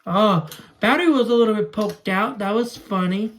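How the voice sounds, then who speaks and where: A man's voice: sits high, normal speed. A young adult man talking casually through a hands-on demonstration for a home vlog. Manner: narrates own actions while handling toys. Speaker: toy video creator. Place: small room at home. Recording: noisy home recording with clicks.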